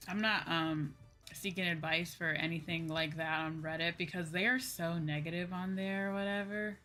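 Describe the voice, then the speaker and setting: Young woman, VTuber-style streamer. Bright tone, rambling casual chat with repeated phrases, music playing behind. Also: on the low side, steady tone, normal speed. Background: background music.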